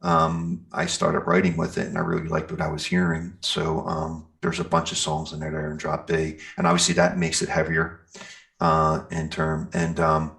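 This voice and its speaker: A man with an extremely low voice and plenty of creaky fry in it. He talks casually as a guest in an interview, explaining as he goes and thinking out loud in a loose, rambling flow. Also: completely flat delivery, normal speed. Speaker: interview guest, metal band singer and guitarist.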